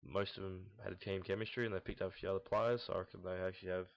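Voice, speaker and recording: A young man giving casual, unscripted esports commentary in a rambling, halting, blunt way, recorded in lo-fi sound. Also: deep voice, little pitch movement, gravelly. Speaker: host of a gaming team audio log. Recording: dull lo-fi, roomy echo, mouse clicks.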